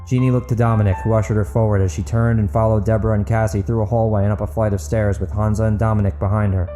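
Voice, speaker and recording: A man's voice: on the low side, little pitch movement, fast, warm tone, faint music behind. A man narrating fiction and hosting his own podcast, in the style of a storyteller addressing listeners. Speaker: author, podcast host and narrator. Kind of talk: dramatic audiobook narration and podcast outro.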